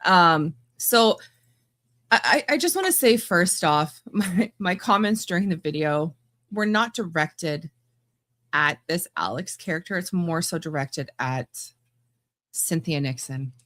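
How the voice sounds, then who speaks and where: Low-voiced blunt woman podcaster. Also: animated, measured pace, fairly bright tone, no echo. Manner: blunt, laughing asides, many restarts. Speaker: podcast host. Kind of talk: casual opinionated commentary.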